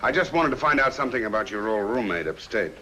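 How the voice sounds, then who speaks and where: An actor playing a portly, genial, unhurried private detective in a 1950s film noir. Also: male voice, mid-range voice, expressive, pushed and bright. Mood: calm, probing. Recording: slightly noisy old film soundtrack.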